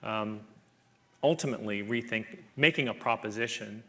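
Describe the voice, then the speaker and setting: A male professor lecturing in a university hall. His voice sits low with a gravelly edge. He speaks in a measured, considered way. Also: a bit bright. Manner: measured, thoughtful, with frequent "um" and "uh" when answering. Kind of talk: public lecture and audience Q&A.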